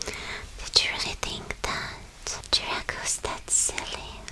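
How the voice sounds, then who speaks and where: Woman whispering as a slow, smug villain girlfriend. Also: lightly acted, rough recording. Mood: smug, teasing. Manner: teasing, condescending, repeats phrases slowly. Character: smug, possessive villain girlfriend from a cruel academy. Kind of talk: whispered ASMR roleplay.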